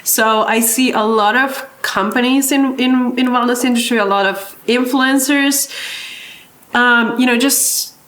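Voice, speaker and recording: A woman's voice: a little high, steady tone, unhurried, a bit bright. A woman hosting a wellness podcast in casual chat, earnest and thoughtful, restarting her phrases often. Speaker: podcast host.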